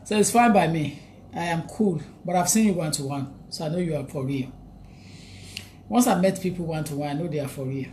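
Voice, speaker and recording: A woman's voice: sits low, a little fast, a little mellow, slight background noise. A woman with a Nigerian English accent hosting a live stream, greeting viewers by name and repeating her points in a chatty way. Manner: chatty, repeats phrases, reads out viewer names, laughs often. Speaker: livestream host.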